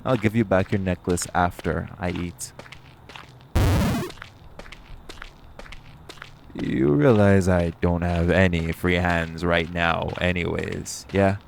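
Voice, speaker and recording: Man playing a gravelly, low-voiced smug vampire. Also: fairly even intonation, a little slow, few pauses, noisy background. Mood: exasperated. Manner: smug, sardonic, flirty, profane banter. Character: cocky, teasing, hungry male vampire carrying his hunter rival. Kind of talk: audio roleplay acting, addressed to the listener.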